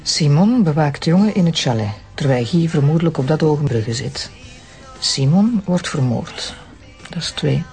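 A woman's voice: sits low, animated, normal speed, mellow, faint music behind. A woman playing a self-assured, level-headed prosecutor in a radio drama, composed and articulate, with a Flemish accent. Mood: recapping.

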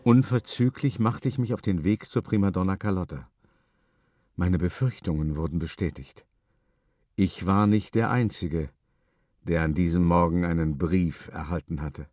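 An actor narrating a radio play in character as a sober man, measured and rueful as he recounts the past. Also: low-pitched, darkish tone, no room sound, natural voice. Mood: reflective. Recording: music behind the voice.

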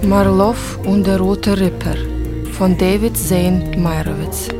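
A woman's voice: mid-range voice, steady tone, slow. A woman announcing the title and credits of a radio drama in a scripted delivery. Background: loud guitar music.

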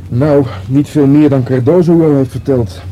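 A man's voice: medium pitch, moderate pace, dull audio, a little hiss or hum, close to an everyday voice. An actor playing a deferential younger police detective in a radio drama, tentative and earnest, repeating words as he reasons. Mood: thoughtful. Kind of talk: Dutch radio drama dialogue.

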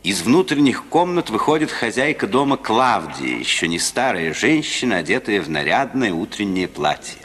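An actor as a measured narrator, reading scripted scene directions for a 1950s radio drama. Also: male voice, on the low side, sing-song, a bit bright. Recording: noisy 1950s radio recording.

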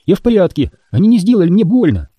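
A man reading an audiobook puts on a bold young woman who will not back down. The voice sits well above his usual pitch and pushes loud and sharp. It keeps his husky, very dark tone and a dry, close sound. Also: sing-song, quick pace, dry recording, highs cut off, exaggerated voice. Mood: urgent. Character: fierce defiant young woman captive.

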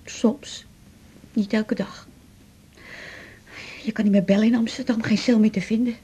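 A troubled woman patient in a radio drama, with a fairly high, slightly husky voice. She is depressed and confused, rambling from thought to thought in a pleading, hesitant way. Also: fairly even intonation, measured pace, mellow. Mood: agitated, gloomy.

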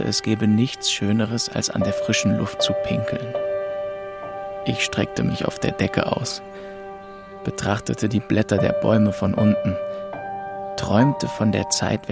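A young adult narrator in a radio drama, wistful and introspective. His voice sits low with a gravelly creak, and music plays clearly behind him. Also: level tone, measured pace. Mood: wistful, brooding. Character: melancholy young French man, orphaned, narrating his road trip. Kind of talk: first-person radio play narration and dialogue.

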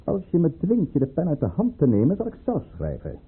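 Casting: scheming tsarist governor and lover, suave and menacing, highly animated pitch, husky muffled sound of an old tinny radio play. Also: male voice, exaggerated voice. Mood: menacing, commanding. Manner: suave, formal, coldly commanding, deliberate. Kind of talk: Dutch radio drama acting.

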